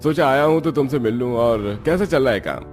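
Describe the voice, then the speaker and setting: Man as a practical villager, medium-pitched. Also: animated, a little fast, a little mellow, faint music behind, natural voice. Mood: friendly. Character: village men off to city factory work, friendly, practical.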